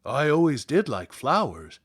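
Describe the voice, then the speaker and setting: Man voicing a gentle, animated cowardly lion. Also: fairly high pitch, a little slow, breathy edge, exaggerated voice. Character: gentle, tender-hearted cowardly male lion.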